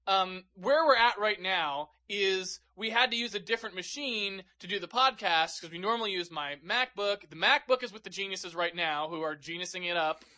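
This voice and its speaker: A man with a high, bright voice talks casually on a podcast mic. His rants are animated and full of profanity, and he tells them with gusto. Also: normal speed, few pauses. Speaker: podcast co-host. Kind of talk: casual chat. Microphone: podcast microphone.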